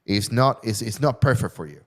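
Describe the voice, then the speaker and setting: A man with a Puerto Rican Spanish accent hosting a casual online chat, halting and full of fillers. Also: medium pitch, expressive. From Puerto Rico. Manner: halting, many fillers and repeats. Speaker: cybersecurity trainer and stream host.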